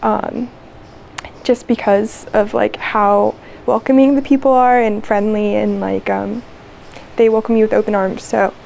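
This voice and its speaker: Mellow-voiced young American woman, hesitant. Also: medium pitch, little pitch movement, measured pace, gravelly, poor audio. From United States. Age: 20s. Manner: hesitant, many ums and likes. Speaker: interview guest. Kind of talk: casual interview chat.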